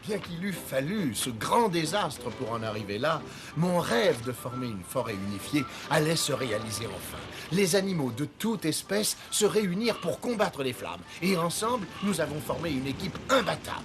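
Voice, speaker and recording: A man voicing a gentle elephant king and father, narrating his story in a French cartoon. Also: a little high, sing-song, moderate pace. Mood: reflective. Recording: music behind the voice.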